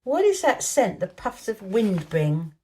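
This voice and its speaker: A woman voicing a frail young storybook boy, excitable, wide-eyed and a little imperious. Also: medium pitch, unhurried. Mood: wondering, excited. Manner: gasping, exclaiming, imperious.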